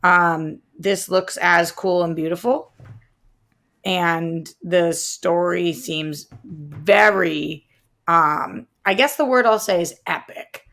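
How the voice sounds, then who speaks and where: A woman co-hosting a podcast, chatting informally about movies and pausing as she searches for the right word. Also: lowish voice, a bit bright. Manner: informal, halting, searches for words. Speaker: podcast co-host reviewing movie trailers. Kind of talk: casual movie chat.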